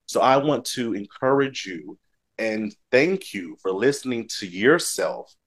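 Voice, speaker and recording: A man's voice: medium pitch, sing-song, measured pace. A man hosting a motivational talk show, speaking conversationally in an encouraging, affirming manner.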